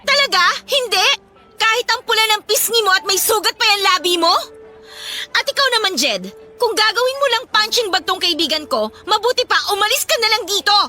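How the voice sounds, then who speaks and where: A woman's voice: very high voice, lively intonation, fairly quick, pushed and bright, soft background music, heavily acted. A woman playing an outspoken, protective young best friend in a radio drama, scolding and indignant. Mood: shocked, protective. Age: young adult. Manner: scolding, sassy.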